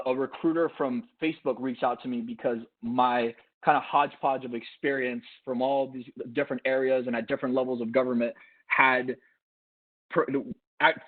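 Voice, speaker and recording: A man, Cuban-born and Miami-raised, tells his career story in a loose, conversational panel style. He often restarts and circles back on his own phrases. His voice sits at an ordinary pitch and comes through a tinny video call line. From Cuba, raised in Miami. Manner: rambling, many restarts and fillers.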